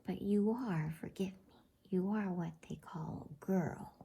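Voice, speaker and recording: A woman voicing a polite, flustered, inquisitive male faun in a storybook, courteous and hesitant, in a breathy whisper. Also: on the low side, slow pace, dark tone, full character voice. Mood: curious, hesitant. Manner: courteous, hesitant, repeats himself. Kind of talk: whispered bedtime storybook reading.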